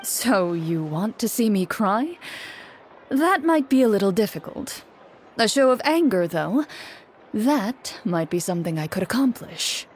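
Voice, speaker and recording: Game duelist, female: composed, measured, courteous, mid-pitched, music behind. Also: very animated, a little hiss or hum. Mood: calm, reserved. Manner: measured, polite, matter-of-fact. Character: composed, serious female duelist guiding friends through a game. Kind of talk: video game voice acting.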